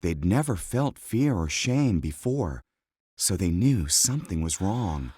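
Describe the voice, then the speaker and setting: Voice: low voice, sing-song, unhurried, mellow, slightly rough, no room sound, clean studio sound. A narrator reading a scripted children's Bible story.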